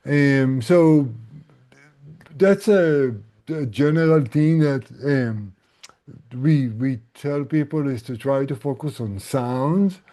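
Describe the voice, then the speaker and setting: Slow-paced, mellow man coaching gently. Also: medium pitch. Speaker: host and tinnitus relief coach. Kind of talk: casual live online group session.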